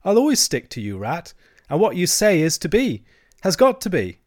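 Guy doing a little storybook mole, eager but tentative, highish voice. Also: wildly swooping pitch, normal speed. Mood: loyal, wistful. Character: loyal, eager, wistful little male mole. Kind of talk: storybook character acting.

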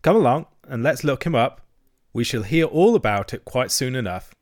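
A man voicing a cheerful, good-natured water rat in a children's story, chatty, colloquial and quick with opinions. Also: mid-range voice, lively intonation, darkish tone, dry recording, clean studio sound, close to an everyday voice. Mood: chatty, confident.

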